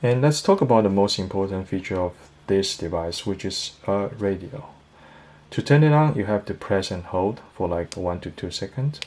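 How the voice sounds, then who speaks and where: A man's voice: low-pitched, animated, moderate pace, darkish tone. A man giving a casual product review, explaining things step by step in a hesitant way.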